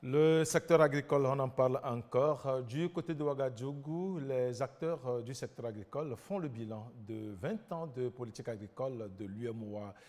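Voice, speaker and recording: A man's voice: medium pitch, moderate pace, few pauses, a little mellow, long reverb tail. A man presenting the news as an anchor.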